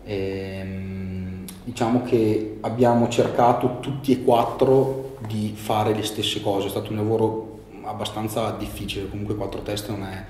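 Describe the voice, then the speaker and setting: A young man in his twenties with a lowish, mellow voice answers casually. He searches for his words and restarts phrases as he goes. The room adds a roomy echo over a faint hiss. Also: little pitch movement, unhurried. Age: 20s. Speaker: young filmmaker interviewee.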